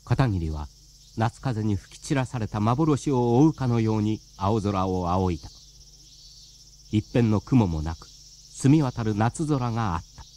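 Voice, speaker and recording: Mellow male radio drama narrator. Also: on the low side, expressive, measured pace, slight background noise.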